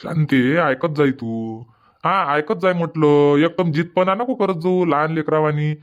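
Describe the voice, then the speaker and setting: A man's voice: fairly high pitch, unhurried, highs cut off, natural voice. A man voicing a stubborn middle-aged village farmer husband in a comic cartoon, grumbling back. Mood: arguing. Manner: grumbling, placating, arguing back. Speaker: cartoon voice actor.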